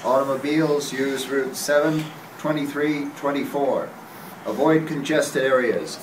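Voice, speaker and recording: A man's voice: medium pitch, measured pace, background noise, lightly acted. A man playing an urgent radio announcer in a live radio play, reading evacuation warnings in terse bulletins. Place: hotel meeting room, panel table. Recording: distant camera mic in echoey room.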